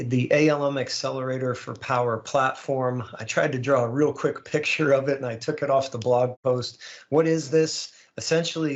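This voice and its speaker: A man hosts an online community call in a casual, upbeat style. His voice sits at a medium pitch and the audio is a little dull and lo-fi, as on a web call. He talks through news conversationally, like a presenter at ease. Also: gravelly. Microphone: online call microphone.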